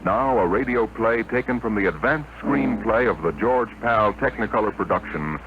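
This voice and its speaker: A man's voice: lowish voice, moderate pace, natural voice. A man narrating a 1950s radio space adventure in a solemn, scripted style, on an old broadcast recording. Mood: solemn, dramatic. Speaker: announcer and narrator. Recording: muffled, very noisy 1950 radio broadcast.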